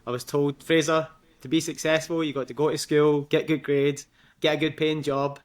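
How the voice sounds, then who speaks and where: A young Scottish man speaks as a podcast guest. His talk is chatty and loose, full of fillers and quick laughs. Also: a little high, flat delivery. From Scotland. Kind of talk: casual interview.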